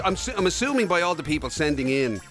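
A man's voice: fairly high pitch, sing-song, fast, fairly bright tone, faint music behind. A man co-hosting a podcast in casual, wry, bantering conversation. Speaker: podcast co-host. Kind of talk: casual comic chat.

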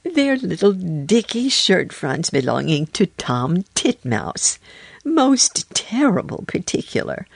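A woman's voice: on the low side, sing-song, slow pace, gravelly, husky, no room sound, pitched above the performer's own voice, exaggerated voice. A woman voicing a stout, polite, fussy little hedgehog washerwoman in a children's storybook, chatty and deferential. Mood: fussy, eager.

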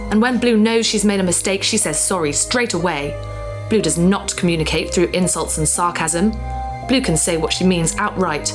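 An innocent, earnest female ship's computer in a robot body, acted by a woman for a sci-fi radio play. Her pitch moves expressively while her phrasing stays simple and literal, like a child's. Music plays behind her. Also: close to an everyday voice. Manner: speaks of herself in third person, simple literal phrasing. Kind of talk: sci-fi audio drama acting.

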